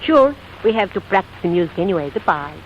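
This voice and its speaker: A woman's voice: fairly low pitch, very animated, fast talker. Perky female secretary, broken English, forthright, 1940s comedy, muffled vintage sound. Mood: cheerful, eager. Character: perky, eager female Latin secretary and singer. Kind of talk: 1940s comedy film or radio acting. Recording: dull, noisy vintage 1940s recording.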